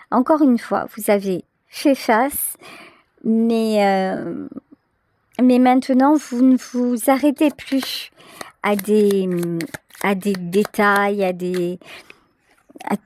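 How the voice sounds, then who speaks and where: A woman giving a tarot reading straight to the listener, reflective and pausing to search for her words. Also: a little high, darkish tone. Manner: hesitant, reflective, searching for words with pauses and repetitions. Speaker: tarot reader, channel host. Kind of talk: intuitive tarot reading addressed to the viewer.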